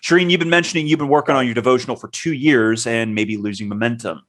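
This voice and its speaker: A man's voice: medium pitch, fairly even intonation, fast, fairly bright tone. A man co-hosting a podcast in casual chat, talking loosely with many fillers and self-corrections. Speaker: podcast co-host.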